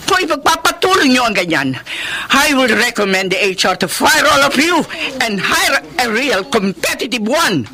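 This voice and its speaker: An actress playing a harsh, demanding female film company boss in a Filipino radio drama, with a Filipino accent and an imperious, sharp manner. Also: fairly high pitch, sing-song, normal speed, hardly any pauses. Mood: scolding. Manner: imperious, sharp, switches between Tagalog and English. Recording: music bed under the voice.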